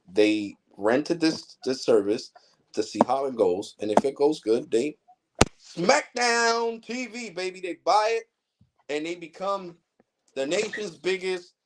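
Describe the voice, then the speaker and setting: A man's voice: fairly high pitch, noisy background. Blunt, heated man in his forties. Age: 40s. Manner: blunt, heated, profane lecturing. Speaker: tech channel host. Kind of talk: casual ranting livestream monologue.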